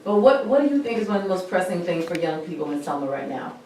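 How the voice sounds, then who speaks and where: A woman moderator asks quick, probing questions and repeats her first words as she goes. She is far from the microphone, and her voice echoes strongly through a large hall. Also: fairly low pitch, sing-song, noisy background. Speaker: seminar moderator asking questions. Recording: echoey hall recorded from a distance.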